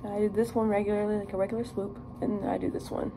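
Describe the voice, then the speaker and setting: A woman's voice: medium pitch, little pitch movement, very fast. A young American woman chatting casually and playfully in slang, as a vlogger getting ready in a hotel room. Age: young adult. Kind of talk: casual get-ready-with-me vlog chat. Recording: noisy room ambience.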